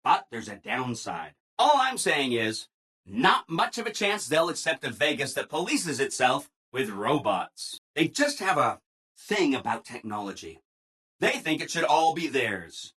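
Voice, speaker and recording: Game robot assistant: ingratiating, relentlessly upbeat, lively swooping pitch, slightly husky edge. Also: male voice, unhurried, heavily acted. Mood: upbeat, explanatory. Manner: chipper, ingratiating, over-enthusiastic, singsong. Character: relentlessly cheerful, eager-to-please robot assistant. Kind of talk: video game character dialogue.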